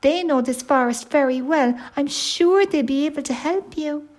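A woman voicing a friendly, eager little boy bear cub with a squeaky, childlike voice in a children's storybook. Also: moderate pace, darkish tone, natural voice.

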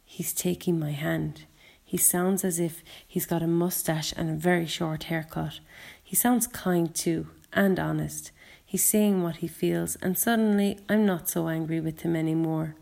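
Woman reading as a frank, chatty young boy, creaky voice. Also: on the low side, fairly even intonation, a little slow, a little mellow. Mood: softening. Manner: chatty, colloquial inner monologue. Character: bedridden young boy, sardonic, frank and quick to anger. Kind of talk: first-person audiobook narration.

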